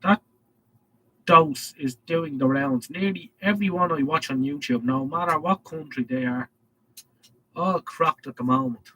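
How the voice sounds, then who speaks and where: A man's voice: a little high, a little slow, pushed and bright, breathy edge, rough recording. A man with an Irish accent and a head cold, hosting a casual live stream and reading out viewer comments in a rambling way. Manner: rambling, reads chat messages aloud.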